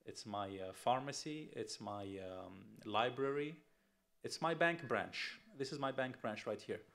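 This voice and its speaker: A man's voice: medium pitch, unhurried, fairly bright tone. Emphatic middle-aged man on a panel. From Tunisia. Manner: emphatic, rhetorical questions, many fillers. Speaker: panelist, investment firm CEO. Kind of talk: conference panel talk.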